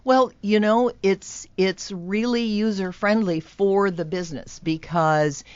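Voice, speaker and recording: A woman's voice: medium pitch, steady tone, takes it slowly, clear, resonant tone, dry recording, muffled recording. A woman on a radio show, earnestly explaining how her employment program helps job seekers and businesses. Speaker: employment program representative, guest. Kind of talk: radio interview.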